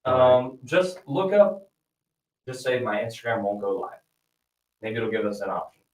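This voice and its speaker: A man's voice: mid-range voice, sing-song, a little slow, distant mic. A man in a cigar lounge, sorting out a live stream and making jokes. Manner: fussing with tech, joking. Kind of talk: casual chat. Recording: echoey room, off-mic.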